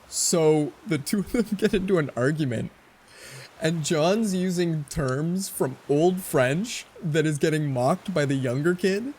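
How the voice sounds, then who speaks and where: A man's voice: on the high side, expressive, measured pace, darkish tone, slightly rough. A man in his forties giving casual gameplay commentary, rambling and chatty as he tells stories between moves. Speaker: let's play host.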